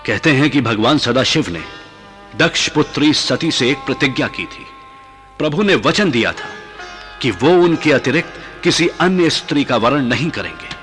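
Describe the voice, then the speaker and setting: A man's voice: mid-range voice, expressive, moderate pace, a bit bright, faint music behind, close to an everyday voice. An actor playing a reverent, anxious mountain king and father in a Hindi mythological radio play, formal and deferential. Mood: worried, doubting.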